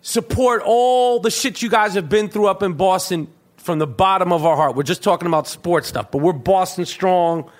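Podcast host guy, high voice, curses a lot, trips over his words. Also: normal speed. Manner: profane, stammering restarts. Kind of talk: casual podcast chat.

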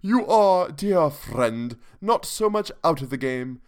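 A man's voice: fairly high pitch, lively intonation, takes it slowly, pitched above the performer's own voice. A man voicing a haughty old vampire count who declaims a florid eulogy. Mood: pompous.

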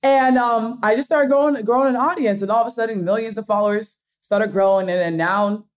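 A young woman from Nigerian American roots, a guest content creator, telling stories in a casual, energetic chat with frequent giggles. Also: on the high side, fairly quick. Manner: energetic storyteller, animated, giggly.

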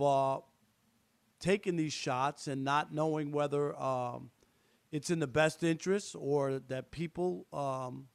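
Higher-voiced man in his fifties, dry sound. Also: no room sound. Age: 50s. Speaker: sports radio host. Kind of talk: casual talk radio discussion.

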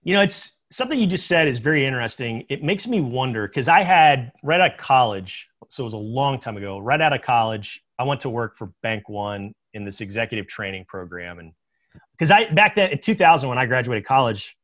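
Relaxed mid-pitched male interviewer. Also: fairly quick. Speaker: interviewer, team media host. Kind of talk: casual interview chat.

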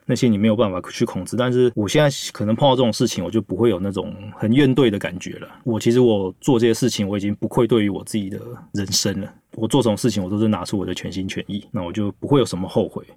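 A man's voice: on the low side, darkish tone, no room sound, studio quality. A man in his thirties with a Taiwanese Mandarin accent, talking casually and reflectively in an earnest, candid way, explaining at length.

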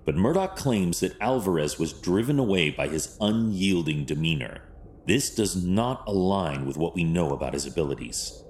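A grim vigilante detective in an audio drama, with an extremely deep voice. He speaks formally and deadpan, stoic and commanding, over faint noise and ambience. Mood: grim, determined.

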